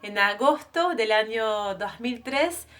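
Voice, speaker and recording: A woman's voice: fairly high pitch, animated, slow, a bit bright. A French-born woman in her thirties shares her personal testimony as a missionary. She speaks to a group of women in an earnest, conversational tone. Her speech is full of small hesitations and self-corrections. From France. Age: 30s.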